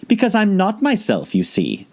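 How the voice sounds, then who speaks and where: A man's voice: high voice, huge pitch swings, moderate pace, darkish tone, voice pushed up, heavily acted. An earnest young girl from a classic storybook, voiced by a man. The voice is raised and rises and falls dramatically. She is courteous and a little timid, explaining herself with care. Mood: polite, puzzled. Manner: polite, hesitant, carefully explaining. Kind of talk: audiobook character voice.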